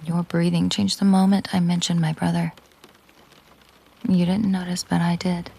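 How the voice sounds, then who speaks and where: A woman playing a possessive, menacing mafia boss girlfriend in an intimate audio roleplay, speaking in a controlled, hushed, deliberate way with a breathy, husky voice. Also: on the low side, flat delivery. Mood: probing, controlled. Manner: slow, deliberate, hushed, often breathy near-whisper.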